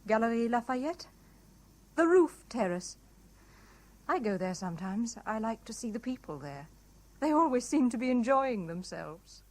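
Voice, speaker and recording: An actress with a British accent playing a wistful, enigmatic married woman in a 1970s radio drama, musing with soft intensity. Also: a little high, sing-song, a little slow. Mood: gentle, curious. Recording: noisy 1970s radio broadcast recording.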